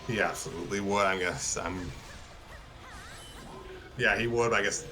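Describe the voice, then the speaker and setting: A man's voice: on the low side, unhurried. A man casually hosting a livestream, reading a story aloud and chatting in a hesitant, hedging way. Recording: background music clearly audible. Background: music.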